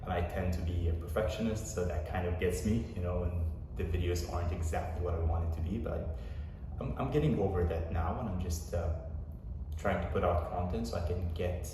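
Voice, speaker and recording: A young man, a personal trainer, giving a relaxed, rambling vlog update with plenty of fillers. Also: deep voice, background noise. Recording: echoey room from far off.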